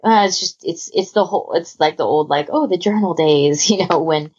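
Husky low-voiced woman chatting informally. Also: brisk, darkish tone, highs cut off. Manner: rambling, enthusiastic, informal. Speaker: podcast host. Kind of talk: casual chat about hobby organisation.

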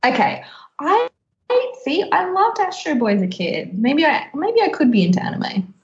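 A woman's voice: sits high, wildly swooping pitch, moderate pace. Giggly woman podcaster in an echoey room. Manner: giggly, often laughs while talking. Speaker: podcast host. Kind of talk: casual chat. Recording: slightly dull, echoey room.

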